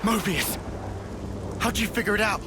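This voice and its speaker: A man's voice: high-pitched, moderate pace, background noise, close to an everyday voice. A man playing a brash, bold young fighter in a video game cutscene. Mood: alarmed.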